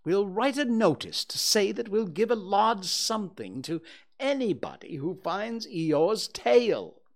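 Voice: medium pitch, sing-song, unhurried, gravelly, pitched above the performer's own voice, exaggerated voice. A storybook reader voicing a pompous, long-winded owl who acts learned and declaims things. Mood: emphatic.

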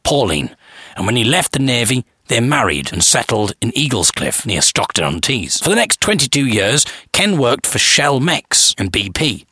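A man hosting a podcast, reading a newspaper profile aloud in a measured, storytelling way. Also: mid-range voice, pushed and bright, breathy edge, dry recording, studio quality. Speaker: podcast host and narrator.

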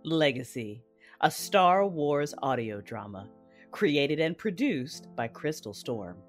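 Audio drama announcer: scripted, dramatic, lively intonation, light music bed. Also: mid-range voice, takes it slowly. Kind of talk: scripted dramatic show introduction.

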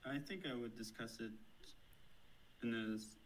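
A man's voice: medium pitch, fairly even intonation, unhurried, a little hiss or hum, rough recording. A man in his thirties with a German accent, answering interview questions thoughtfully, with frequent fillers and restarts. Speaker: software developer guest.

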